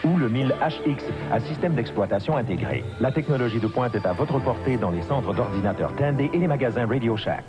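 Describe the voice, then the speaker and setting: A man's voice: mid-range voice, animated, quick pace, hardly any pauses. A man reading a scripted television advertisement as an announcer, from an archive commercial. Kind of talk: scripted French TV advertisement voice-over. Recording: muffled archive TV commercial with music. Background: background music.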